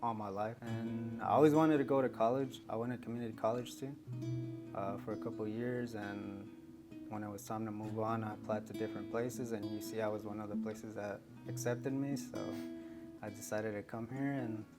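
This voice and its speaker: A man in his twenties from Mexico, a student answering interview questions in a relaxed way with many fillers. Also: on the low side, normal speed. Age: 20s. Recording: guitar music playing underneath.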